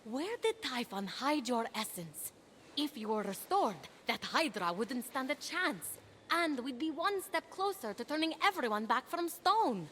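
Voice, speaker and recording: A woman playing an eager, plucky young mortal hero in a video game, excitable and earnest, with music behind her. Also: high-pitched, measured pace, slight background noise, exaggerated voice. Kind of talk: animated video game voice acting.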